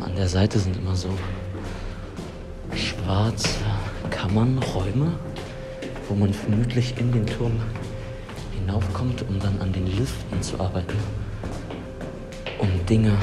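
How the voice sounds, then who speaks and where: A man's voice: lowish voice, steady tone, slow pace, long pauses, over loud music. A man describing his surroundings piece by piece on location, as a podcaster exploring a building. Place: on the move around a theatre building. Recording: clear ambient background noise.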